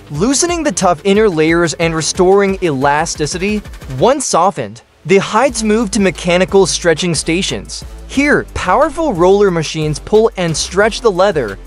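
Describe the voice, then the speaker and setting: A man reading scripted documentary narration, explaining each step in steady, short listed phrases. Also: high-pitched. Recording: voice-over with faint background music.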